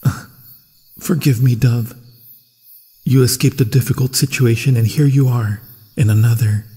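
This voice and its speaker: A man playing a grieving, vengeful monster hunter who protects a stranger, speaking solemnly and haltingly in an ASMR fantasy horror roleplay, with a husky, breathy voice. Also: mid-range voice, fairly even intonation, mellow. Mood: sorrowful, apologetic. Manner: solemn, dramatic, halting delivery with long pauses. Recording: long cavernous reverb, insect sounds.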